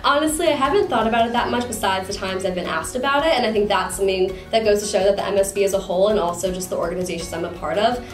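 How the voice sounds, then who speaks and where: Woman in her twenties, quick talker, upbeat, echoey room, faint music. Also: medium pitch. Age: 20s. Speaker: business student. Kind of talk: recorded interview.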